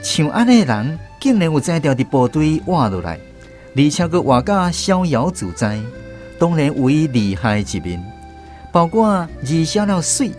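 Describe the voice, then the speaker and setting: An actor playing a former army conscript who narrates his memories in a radio drama, with a Taiwanese accent and an expressive storytelling manner. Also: on the high side, normal speed, soft background music. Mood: reflective storytelling.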